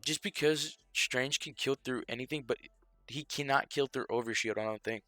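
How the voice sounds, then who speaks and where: A man's voice: medium pitch, moderate pace, bright, forceful tone, no echo, soft background music. A young man rambling through casual stream commentary, loose and filler-heavy, sounding a bit stuffy from a cold.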